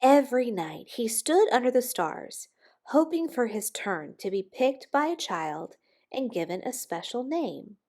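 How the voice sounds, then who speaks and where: A woman reading a children's picture book aloud expressively, with dramatic pauses and emphasis on key words. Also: on the high side, very animated, a little mellow. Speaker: storybook reader.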